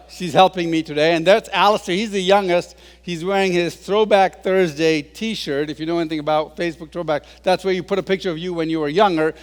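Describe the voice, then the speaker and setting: A man in his sixties giving a humorous talk as a guest speaker, telling stories with self-deprecating asides. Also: high voice. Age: 60s. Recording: long reverb of a large hall.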